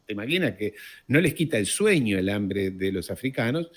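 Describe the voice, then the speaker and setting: Animated man, emphatic political commentator. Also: fairly low pitch. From born in Uruguay, raised in France. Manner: emphatic, slows to stress listed words, repeats for emphasis. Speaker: interview guest, economist.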